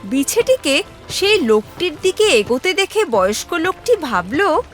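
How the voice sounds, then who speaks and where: A woman narrating a scripted story for children in an expressive way. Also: very high-pitched, measured pace, soft background music.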